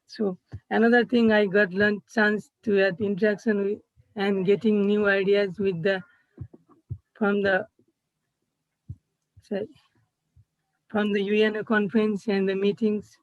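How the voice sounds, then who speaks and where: A young man with a Nepali accent gives a halting presentation over a video call. His voice sits high and stays fairly level in pitch. The sound is dry and somewhat dull. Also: level tone, no echo. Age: young adult. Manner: halting, searching for words, frequent restarts. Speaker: student intern presenting. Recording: dull, slightly muffled video-call audio.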